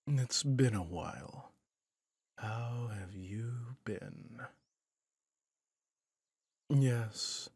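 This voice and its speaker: A man playing a weary, reflective villain in an ASMR roleplay, speaking softly and gently with deliberate pauses, breathy and often near a whisper. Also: fairly low pitch, no room sound, clean studio sound, heavily acted. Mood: calm, wistful. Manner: slow, soft, pensive, with deliberate pauses. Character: weary male villain, reflective, gentle toward his rival hero. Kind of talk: ASMR roleplay audio drama.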